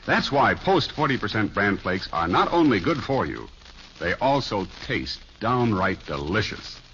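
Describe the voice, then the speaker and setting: A man's voice: lowish voice, very animated, unhurried, a bit bright. A man reading a scripted commercial as an old-time radio announcer. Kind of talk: 1950s radio advertising read. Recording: dull old radio recording, faint noise.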